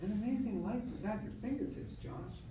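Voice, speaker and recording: A man's voice: high-pitched, fairly quick, mellow, a little hiss or hum, close to an everyday voice. Man playing a tender old grandfather, distant and echoey. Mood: concerned, tender. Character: loving grandfather's ghost, old man, gently reassuring. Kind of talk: staged musical reading, spoken and sung. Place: live staged reading in a hall. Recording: echoey hall from far off, piano accompaniment. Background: piano music.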